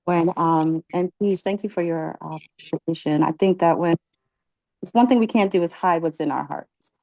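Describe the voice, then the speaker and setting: Dry, low-voiced woman leading a class. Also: warm tone, no room sound, rough recording. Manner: encouraging, halting with many ums. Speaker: class leader asking catechism questions. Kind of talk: religious class question-and-answer.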